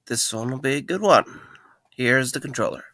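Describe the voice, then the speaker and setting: Casting: young male night guard played by a man, creaky voice, sarcastic, caring, very dry recording. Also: medium pitch, expressive, normal speed, bright, forceful tone, no echo. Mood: easygoing. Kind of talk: audio drama acting.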